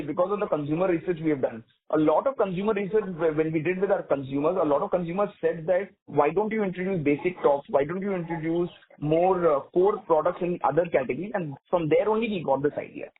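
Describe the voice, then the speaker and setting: A man's voice: sits high, a little hiss or hum. A man with an Indian accent speaking as a company executive on an earnings call, explaining and rephrasing his points as he answers. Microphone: telephone conference call. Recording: narrow tinny phone line.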